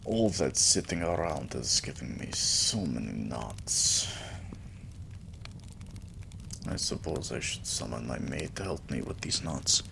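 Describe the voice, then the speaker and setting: A man playing a regal, possessive, doting vampire king in an intimate ASMR roleplay, with lordly, drawn-out phrasing and murmurs. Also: extremely low pitch, lively intonation, vocal fry, noisy background, exaggerated voice. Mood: weary, musing.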